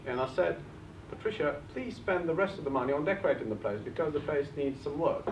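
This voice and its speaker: A man's voice: fairly high pitch, fast. A man from Bulgaria with a Bulgarian accent, presenting at a live seminar and speaking straight to the audience with questions. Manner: animated, addresses the audience directly with questions. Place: meeting room with an audience. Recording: echoey room, distant mic, muffled, faint hiss.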